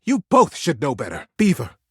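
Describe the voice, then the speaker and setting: A man's voice: fairly high pitch, wildly swooping pitch, normal speed, slightly rough, no room sound, studio quality, voice pushed up, full character voice. A man voicing a stern old female beaver matriarch in a storybook tale, with a trilling, imperious, scolding manner. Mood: scolding, indignant.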